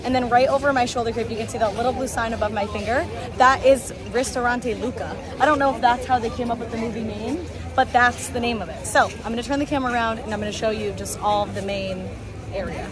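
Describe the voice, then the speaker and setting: A woman in her twenties chatting casually and cheerfully to camera as a travel vlogger, recorded outdoors on a handheld device. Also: fairly high pitch. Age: 20s. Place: outdoors in a busy tourist village street. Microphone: phone or camera at arm's length. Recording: outdoor phone audio with busy crowd. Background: crowd chatter.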